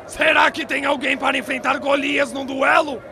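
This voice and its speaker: A man playing an aggressive, menacing giant warrior with a gravelly voice in a dubbed cartoon. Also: very high-pitched, fairly even intonation, moderate pace, crowd noise, background noise. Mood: taunting, menacing. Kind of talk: dubbed cartoon villain acting.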